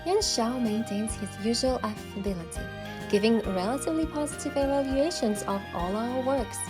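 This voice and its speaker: A woman's voice: a little high, normal speed, lightly acted. A young woman playing a sincere, sensitive heroine who narrates her story in a game, earnest and careful, with music behind her. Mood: composed, tense. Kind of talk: scripted first-person game narration.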